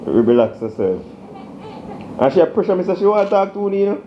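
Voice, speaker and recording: A man's voice: high-pitched, sing-song, fast. A Jamaican man talking casually in Patois as he hosts and mediates a vlog argument. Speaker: vlogger hosting a relationship prank. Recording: muffled, noisy home video audio.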